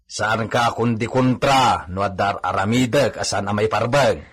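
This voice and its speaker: An actor playing a worried, respectful hacienda servant in a radio drama, speaking earnestly. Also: male voice, on the low side, moderate pace, fairly bright tone, breathy edge. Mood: resigned, concerned. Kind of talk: Ilocano radio drama acting.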